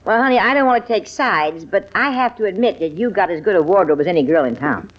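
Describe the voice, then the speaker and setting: An actress with an American accent playing a plain-spoken, folksy housekeeper in an old-time radio family comedy. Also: female voice, mid-range voice, expressive, fast, lightly acted. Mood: matter-of-fact. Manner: folksy, frank. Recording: muffled old radio broadcast recording.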